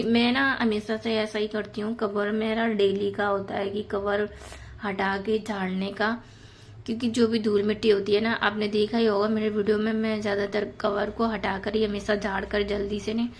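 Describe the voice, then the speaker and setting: A woman in her thirties with a hoarse, sore throat, chatting casually to viewers about her housework in a voice-over. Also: mid-range voice. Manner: chatty, many fillers, addresses viewers as friends. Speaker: home vlogger.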